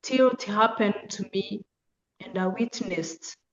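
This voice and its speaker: Slow-speaking Rwandan woman in an echoey room. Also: medium pitch. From Eastern Rwanda. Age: middle-aged. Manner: measured, halting, with deliberate phrasing. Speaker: guest lecturer, genocide survivor and foundation deputy director. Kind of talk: personal testimony in a public lecture. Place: university lecture room. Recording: muffled, echoey room sound.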